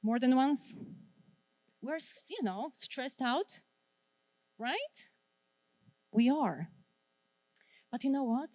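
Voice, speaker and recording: A woman's voice: high-pitched, animated, slow pace, long pauses, a little mellow, no room sound, low-quality recording. A middle-aged woman from Poland with a Polish accent, leading a wellness workshop and drawing answers from the audience. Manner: engaging, asks the audience questions and repeats their answers. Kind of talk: interactive workshop talk to a live audience.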